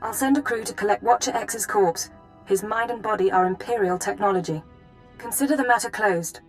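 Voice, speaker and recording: A woman's voice: medium pitch, brisk, faint music behind, lightly acted. A woman playing a controlled, efficient intelligence handler in a video game, speaking in a clipped, formal way. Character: brisk, clinical, controlled female intelligence handler. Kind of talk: video game cutscene acting.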